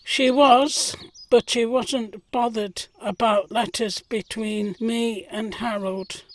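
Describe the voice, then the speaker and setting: An earnest servant played by an actress in a period audio play. Her voice is fairly high, and she speaks slowly and deliberately. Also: steady tone. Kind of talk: audio drama acting.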